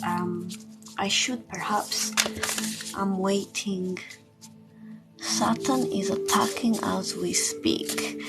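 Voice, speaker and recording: A woman chatting informally as a livestream tarot host, unhurried and pausing, with loose, sweary phrasing. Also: medium pitch, low-quality recording. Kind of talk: casual livestream chat with card readings. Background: music.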